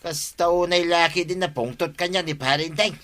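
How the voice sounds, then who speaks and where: A scheming, defensive village busybody with an Ilocano accent. The voice is high and piercingly bright, whiny and protesting. It is played as radio drama. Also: male voice, normal speed, faint music behind. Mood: teasing.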